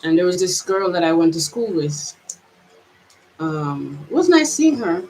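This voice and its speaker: A woman's voice: fairly low pitch, very animated, unhurried, a little mellow, rough recording. A woman from Jamaica with a mixed Jamaican and American accent, giving a reflective personal vlog monologue in a hesitant, searching way with many restarts. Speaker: vlogger.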